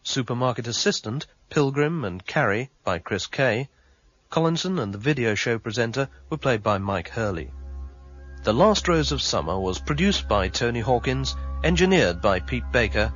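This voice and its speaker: Measured male announcer reading credits. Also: medium pitch, lively intonation, a bit bright, slightly rough. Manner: measured, formal delivery. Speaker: closing announcer. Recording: dull lo-fi broadcast with faint music.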